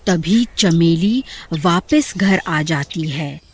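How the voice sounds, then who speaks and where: A woman's voice: on the low side, animated, extremely slow, slight background noise. A woman narrating a scripted horror story.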